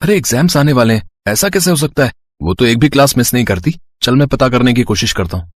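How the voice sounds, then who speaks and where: A man's voice: medium pitch, expressive, fast, pitched above the performer's own voice, close to an everyday voice. A man voicing a friendly, earnest college student in an audio story, boyish and a little hesitant. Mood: worried. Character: friendly, earnest young male college student, rich builder's son.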